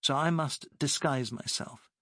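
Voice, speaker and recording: A man voicing a poised British woman working as a secret agent, speaking crisply and persuasively. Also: medium pitch, a little slow, pushed and bright, dry recording, studio quality, pitched above the performer's own voice.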